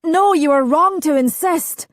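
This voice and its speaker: Woman reader doing a young man in a nightmare, shouting and desperate, pitched high. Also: a little slow, no echo, clean studio sound.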